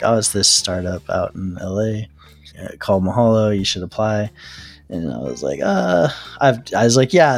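Relaxed man telling his story. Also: lowish voice, creaky. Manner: relaxed, self-deprecating storyteller. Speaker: startup founder interviewee. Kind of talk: casual storytelling interview.

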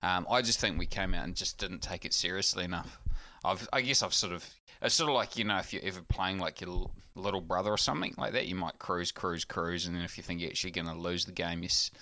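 A man's voice: deep voice, fast, few pauses, pushed and bright, no room sound. A man from New Zealand co-hosting a casual podcast chat, talking with many fillers and restarts. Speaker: podcast co-host.

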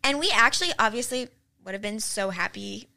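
Quick, bright girlish woman host. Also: mid-range voice, animated, creaky. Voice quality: childish-sounding voice. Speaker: podcast host. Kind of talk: casual chat.

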